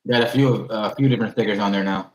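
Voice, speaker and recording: A man's voice: fairly low pitch, fast talker. A man chatting casually with the others, joking around. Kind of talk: casual chat. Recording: roomy echo.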